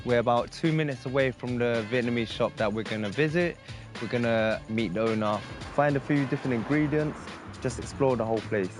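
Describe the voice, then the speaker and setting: A man's voice: mid-range voice, brisk. A man hosting a cooking show, talking in an easygoing, encouraging way. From London, England. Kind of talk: presenter voice-over. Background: music.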